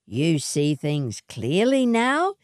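A woman's voice: deep voice, huge pitch swings, slow, no echo, studio quality, full character voice. A woman voicing a defiant, roguish male swagman with a brooding manner for a short story. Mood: questioning.

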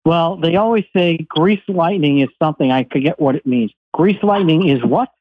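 A man's voice: a little high, moderate pace, dry recording. A man hosting a radio show, joking and teasing before interviewing his guest. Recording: tinny phone-like dry audio.

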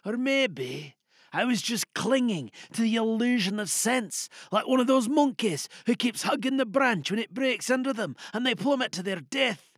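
A man playing a weary, bitter soldier in a trench, confiding in a hushed voice in an audio drama monologue. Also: extremely high pitch, lively intonation, moderate pace, no room sound, studio quality, voice pushed up, exaggerated voice. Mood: despairing.

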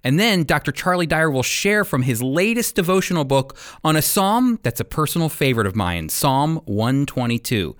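Male radio Bible teacher, highish pitch, very varied intonation, explanatory and emphatic scripted delivery. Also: big pitch swings, moderate pace, few pauses, fairly bright tone.

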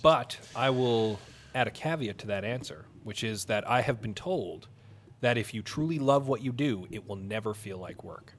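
A man's voice: lowish voice, animated, moderate pace. A man co-hosting a podcast, adding his comments in casual conversation. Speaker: podcast co-host. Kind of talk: casual chat.

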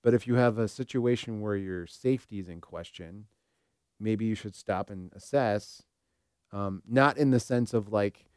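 A man's voice: lowish voice, normal speed, a little mellow, smooth, no room sound, studio quality. A man co-hosting a talk show as a therapist, speaking casually and reflectively, tentatively working out his thoughts aloud.

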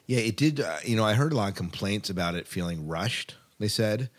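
Deep-voiced man hosting casually. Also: expressive, brisk. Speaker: podcast host. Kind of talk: casual sci-fi podcast talk.

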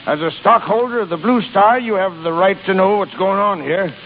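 High-voiced, blustering old sea captain. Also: male voice, brisk, in a large hall. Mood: gleeful, crafty. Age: 60s. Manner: barking, salty nautical bluster with chuckles. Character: blustering, crafty old sea-captain businessman, bossy but soft-hearted. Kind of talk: 1930s radio acting. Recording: dull, hissy 1930s radio recording.

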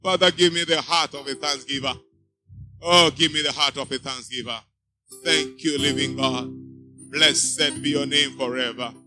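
A man's voice: mid-range voice, fairly even intonation, moderate pace, over music. A man preaching a sermon to a church congregation. He exhorts the listeners with emphatic, repeating refrains. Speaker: pastor. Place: church before a live congregation.

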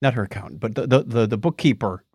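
Low-voiced man chatting on a dry recording. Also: quick pace, a little mellow, husky, no room sound. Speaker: podcast co-host. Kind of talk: casual chat, recounting a documentary.

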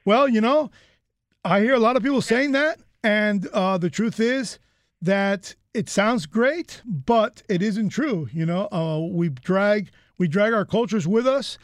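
Chatty american guy hosting, high voice, rambles a lot, dry close sound. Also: unhurried, no echo. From United States. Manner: rambling, chatty, many fillers and restarts. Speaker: host. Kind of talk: casual interview chat.

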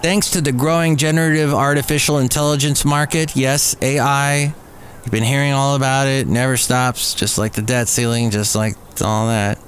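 A man with a mid-pitched voice hosts a podcast, reading through news items in a conversational way. He drops in casual, wry asides as he goes. Faint background noise sits behind his voice. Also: flat delivery, moderate pace. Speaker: podcast host.

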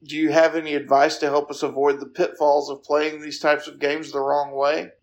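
A man with a fairly high voice and a strong gravelly creak puts questions to a guest. His delivery is that of an interviewer reading from a prepared list. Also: little pitch movement, moderate pace. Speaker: podcast interviewer.

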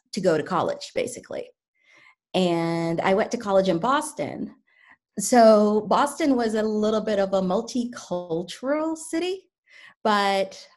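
A woman's voice: fairly low pitch, a little mellow. A woman in her thirties from Kuwait, a realtor answering interview questions in a thoughtful way, with some restarts. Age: 30s. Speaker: realtor guest.

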